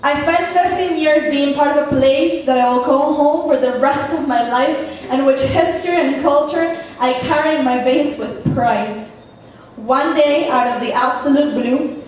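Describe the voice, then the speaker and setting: A woman with a Spanish accent performing spoken word poetry, reciting earnestly and measuredly with pauses. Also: high-pitched, recorded from a distance. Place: echoey poetry venue, performing seated. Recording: echoey room, voice from afar, faint hum.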